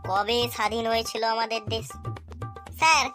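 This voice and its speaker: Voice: medium pitch, moderate pace, music in the background, natural voice. A performer voicing a cheeky, excuse-making schoolboy in a comedy cartoon, whiny and argumentative. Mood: cheeky, protesting.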